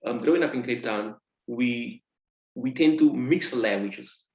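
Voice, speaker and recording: A man's voice: mid-range voice, a little mellow. South African man telling his story over a video call. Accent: South African English. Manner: earnest, measured storytelling with self-corrections. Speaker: guest. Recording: dull, roomy video call audio.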